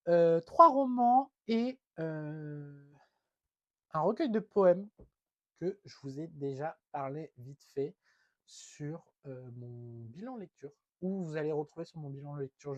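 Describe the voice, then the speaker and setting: A man's voice: a little high, no room sound. A young man with a head cold, talking through a stuffed nose in a chatty, hesitant book vlog full of fillers. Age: young adult. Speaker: book vlogger. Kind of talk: casual book haul vlog.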